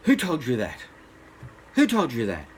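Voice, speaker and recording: A man's voice: mid-range voice, wildly swooping pitch, a little slow, slight background noise. A grandfather voicing a strange, demanding little magical man in a bedtime storybook tale. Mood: cross, shocked.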